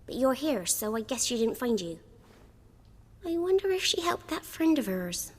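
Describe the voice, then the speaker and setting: A woman's voice: a little high, big pitch swings, moderate pace, a little mellow, big-room sound. A woman voicing an earnest little village girl in a video game, chirpy and eager.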